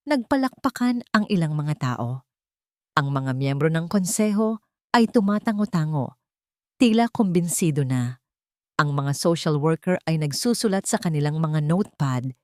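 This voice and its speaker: A woman's voice: low-pitched, lively intonation, moderate pace, a little mellow, no echo, clean studio sound. A woman reading a scripted, dramatic romance story as its narrator.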